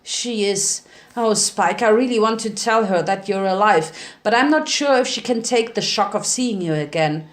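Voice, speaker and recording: A woman's voice: mid-range voice, normal speed, close to an everyday voice. Woman as a guilt-ridden, weary stallion father. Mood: gentle, anxious.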